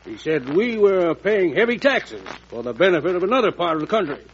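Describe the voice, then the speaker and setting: A man's voice: high voice, very animated, brisk. A man playing a plain-spoken, earnest American colonel in a 1940s radio drama, forthright and folksy in his emphasis. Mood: earnest, indignant. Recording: muffled, noisy old radio recording.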